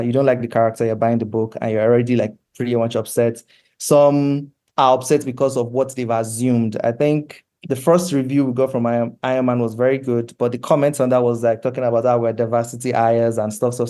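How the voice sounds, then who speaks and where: A Nigerian man speaks earnestly and in a measured way as an interview guest. His voice is soft-edged and mellow, middle in pitch, and stays on a very level line. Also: flat delivery. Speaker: comic book creator, interview guest. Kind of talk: conversational interview answers.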